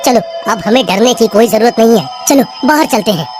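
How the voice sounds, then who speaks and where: A man's voice: extremely high pitch, animated, fairly quick, music in the background. A man voicing a cautious, sensible cartoon companion with an earnest, explanatory way of talking. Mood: reassuring. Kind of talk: cartoon voice acting.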